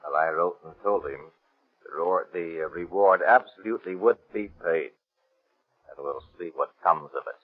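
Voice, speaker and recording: Measured, hesitant official type, played by a man: deep voice, tinny old radio drama recording. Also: lightly acted. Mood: matter-of-fact. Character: deliberate, matter-of-fact man reporting on a case. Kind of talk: 1930s radio drama acting. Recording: tinny band-limited 1930s radio recording.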